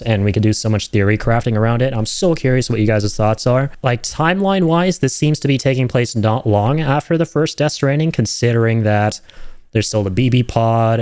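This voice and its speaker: A man's voice: medium pitch, a little mellow, smooth, no room sound, clean studio sound. A man giving enthusiastic, speculative commentary on game trailers in a casual streaming style. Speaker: reaction video host, streamer.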